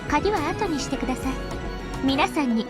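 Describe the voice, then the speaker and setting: A woman's voice: sits high, expressive, racing, over loud music. A woman playing a smug young female detective in a Japanese visual novel, coldly polite, mocking and insinuating. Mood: coldly probing.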